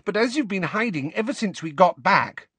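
A man's voice: high voice, animated, moderate pace, a bit bright, breathy edge, no room sound, voice pushed up, exaggerated voice. A man voicing a blunt, unabashed younger teenage girl in an audiobook, cool and matter-of-fact. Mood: angry, blunt.

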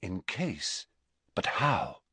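A man's voice: low voice, very animated, very slow, bright, forceful tone, slightly rough, no echo, full character voice. A man voicing a polite, eager and cunning teenage wizard in an audiobook, deferential yet probing. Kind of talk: audiobook character voice.

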